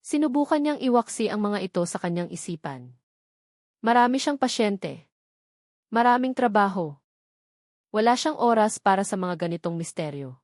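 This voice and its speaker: A woman's voice: medium pitch, lively intonation, normal speed, pauses often, a little mellow, dry recording. Woman narrating a romance story, dry sound.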